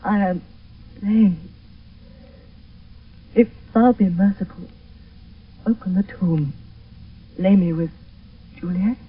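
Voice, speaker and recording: A proud, defiant young nobleman in classical radio drama. He declaims in a highly strung way. The old recording is muffled and a little distant. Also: slow pace, long pauses, husky, exaggerated voice. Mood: dying plea. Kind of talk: 1970s radio Shakespeare acting.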